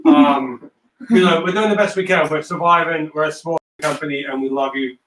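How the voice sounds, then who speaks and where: High-voiced man hosting in echoey room. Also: fairly even intonation, moderate pace, a bit bright, a little hiss or hum. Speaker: host and stage-direction reader. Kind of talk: casual hosting and scripted narration. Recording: roomy, distant microphone.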